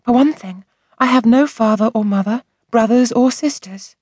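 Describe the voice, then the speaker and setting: A woman's voice: on the high side, normal speed, a little mellow, no room sound, clean studio sound, voice pushed up, lightly acted. A woman voicing an unhappy, earnest orphan girl in a storybook reading, with a plaintive manner. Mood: aggrieved.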